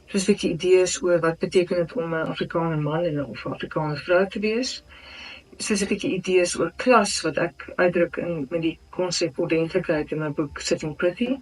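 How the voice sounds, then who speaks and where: This is an academic author giving a brisk, analytical interview answer that lists one category after another. The voice sounds set back from the microphone, so it comes across as somewhat distant. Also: medium pitch, husky. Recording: voice from far off the mic.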